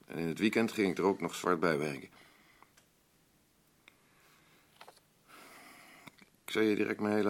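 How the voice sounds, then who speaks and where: An actor playing a blunt, rambling working-class husband in a radio drama, boastful, self-pitying and defensive. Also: male voice, deep voice, a bit bright. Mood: aggrieved. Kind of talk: naturalistic radio drama dialogue.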